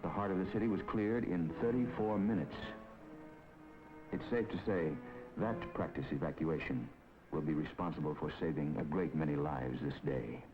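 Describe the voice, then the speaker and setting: A man giving measured, authoritative scripted narration for a 1950s documentary film. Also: extremely low pitch. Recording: dull 1950s film soundtrack with music.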